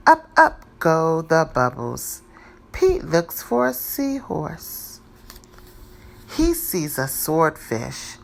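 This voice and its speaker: A woman reading a children's picture book aloud in a deliberate, expressive teacher's style. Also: lowish voice, vocal fry, a little hiss or hum. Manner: deliberate, animated, dramatizing for young children.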